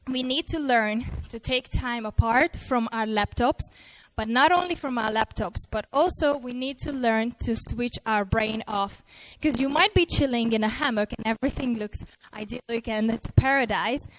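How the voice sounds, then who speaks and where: Lively woman speaker on a handheld mic. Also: a little high, normal speed, dry recording, low-quality recording. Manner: lively, self-mocking, acts out her own inner worries. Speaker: conference speaker. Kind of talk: motivational stage talk. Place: festival stage before a live audience.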